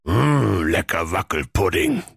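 Man doing a slow deep greedy dragon. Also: very animated, gravelly, fairly bright tone, slightly rough, dry recording, clean studio sound, exaggerated voice. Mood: smug. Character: huge greedy male dragon, laid-back glutton.

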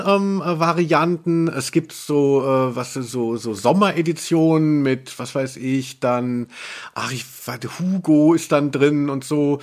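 A man with a somewhat high voice chats as a podcast host. His delivery is colloquial and wandering, peppered with English slang. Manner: rambling, colloquial, anglicism-sprinkled. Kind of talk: casual chat.

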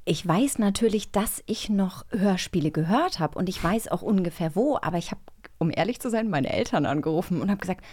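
A woman's voice: lowish voice, animated, a little fast, a little mellow. A woman co-hosting a podcast in a casual, conversational style. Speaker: podcast co-host.

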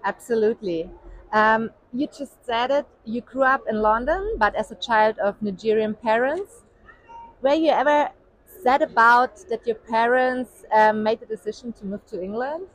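A woman's voice: fairly high pitch, moderate pace, a little hiss or hum, low-quality recording. A woman interviewer asking polite questions, with frequent hesitant fillers.

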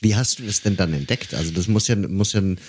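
Low-voiced man co-hosting a podcast. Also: quick pace, a little mellow, a little hiss or hum. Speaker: podcast co-host, voice coach.

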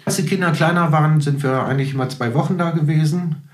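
A middle-aged man chatting casually as an interview guest, easygoing and a little halting as he thinks. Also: a little high, echoey room. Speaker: podcast guest, musician. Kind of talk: casual chat.

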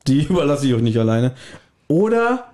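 A man's voice: mid-range voice, very animated, normal speed, darkish tone. A man hosting a podcast in a casual, chatty, bantering style. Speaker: podcast host. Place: guest's apartment, indoors.

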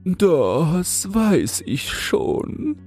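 A man's voice: sits high, big pitch swings, very slow, darkish tone, soft background music, voice pushed up, heavily acted. A man voicing a self-satisfied cabbage caterpillar in a fairy tale, with a smug drawl. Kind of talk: fairy tale audiobook character voice.

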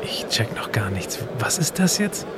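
A man's voice: on the low side, very animated, a little slow, whispered, over music. A man speaking conversational, scripted audio drama dialogue as a time-travelling presenter.

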